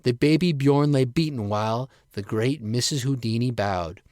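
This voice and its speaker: A man reads a poem aloud in an expressive, performed style. His voice sits at a middling pitch, and the recording is close and dry with very little room sound. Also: normal speed, no room sound. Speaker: poet reading own work. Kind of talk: expressive poetry reading.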